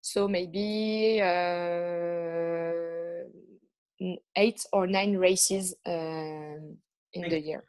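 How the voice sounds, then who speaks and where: A woman with a French accent, not a native English speaker, answering interview questions in halting English with many pauses and fillers. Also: on the low side, steady tone, darkish tone. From France. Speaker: guest, motorcycle racer. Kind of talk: casual interview chat.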